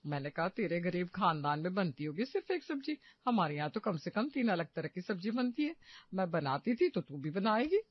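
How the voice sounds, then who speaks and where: A woman's voice: on the low side, very animated, brisk, no room sound, highs cut off. Woman voicing a gloating, scornful cartoon mother-in-law. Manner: gloating, contemptuous. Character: mocking, scheming middle-aged Indian mother-in-law gossiping. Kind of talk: cartoon moral story acting.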